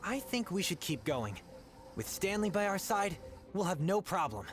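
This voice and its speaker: A voice actor playing an earnest young adventurer who speaks sincerely and eagerly. The voice sits in a middle pitch. Game music plays clearly behind. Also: sing-song, normal speed, natural voice.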